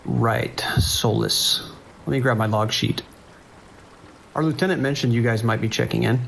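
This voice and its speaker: A man playing a calm, kindly sheriff's deputy on night dispatch in an audio drama, talking casually and reassuringly. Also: medium pitch, moderate pace, vocal fry, slight background noise, low-quality recording. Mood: calm, businesslike. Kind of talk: naturalistic audio drama acting.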